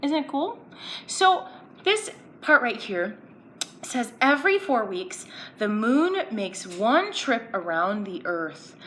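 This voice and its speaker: A woman's voice: on the high side, very animated, slow, a bit bright. A woman presenting a children's educational story time, playfully asking young viewers simple questions as she explains and reads aloud. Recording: roomy echo, background noise.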